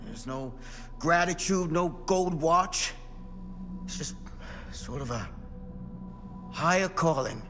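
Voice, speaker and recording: A man's voice: a little high, animated, slow pace, pauses often, fairly bright tone, soft background music. A man playing a weary, driven former sheriff in a video game, speaking in a grave, confessional way. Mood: weary, resigned. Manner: brooding, solemn, confessional. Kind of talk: video game cutscene acting. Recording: reverberant hall, light background noise.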